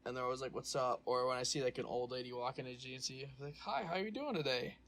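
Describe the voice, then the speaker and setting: A young man co-hosting a podcast, talking in a casual, energetic ramble full of fillers. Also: medium pitch, fast. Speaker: podcast co-host. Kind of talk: casual chat.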